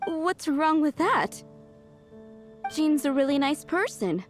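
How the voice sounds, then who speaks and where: A woman's voice: high-pitched, very animated, slow, exaggerated voice. A woman playing a chatty, somewhat scatterbrained female tavern keeper looking for her lost cat. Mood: worried. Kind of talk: video game dialogue. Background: game music.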